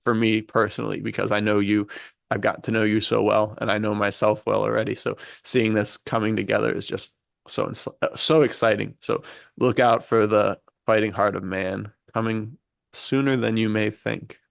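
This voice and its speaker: A man's voice: lowish voice, flat delivery, moderate pace, vocal fry, no echo, clean studio sound. A man co-hosting a podcast in casual chat, speaking in an earnest, informal, enthusiastic way. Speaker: podcast co-host and fiction writer.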